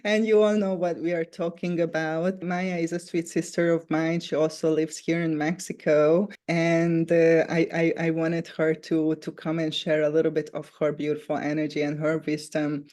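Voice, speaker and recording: A woman's voice: sits low, flat delivery, normal speed, hardly any pauses. Chatty woman hosting a podcast. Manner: warm-voiced filler-heavy talk with frequent "you know". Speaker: podcast host. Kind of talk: casual interview chat. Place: lives in Mexico.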